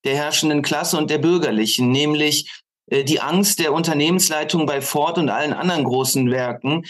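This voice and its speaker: A man speaking as a podcast guest, reflecting on politics in halting, deliberate phrases with emphatic lists. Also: a little high, fairly bright tone.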